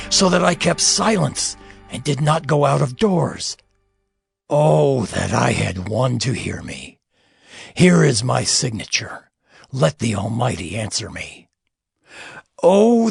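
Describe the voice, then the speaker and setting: An actor playing an anguished, defiant biblical patriarch in a dramatized scripture reading, solemn and impassioned, with a gravelly, husky voice. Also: male voice, medium pitch, no echo, heavily acted. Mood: fearful confession.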